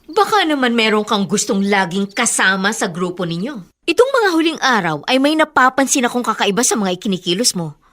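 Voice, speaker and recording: Suspicious big sister, highly animated Filipino voice. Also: female voice, fairly quick. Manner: questioning, earnest. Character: strict, suspicious older sister, protective and worried. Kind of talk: Tagalog radio drama acting.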